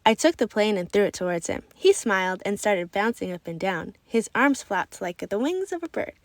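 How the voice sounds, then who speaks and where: A woman reading a children's story aloud in expressive, scripted narration. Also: mid-range voice, moderate pace, no room sound. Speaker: storybook reader.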